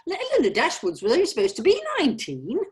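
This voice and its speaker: A woman's voice: fairly high pitch, wildly swooping pitch, fast. A woman acting a genteel, fussy, chatty bookish lady in a comedy script read, politely old-fashioned in her phrasing. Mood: gossipy.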